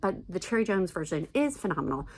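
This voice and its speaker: A woman's voice: medium pitch, sing-song, quick pace, a little mellow, husky. A middle-aged American woman chatting casually and emphatically as a video blogger giving recommendations. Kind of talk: casual chat to camera, recommendations.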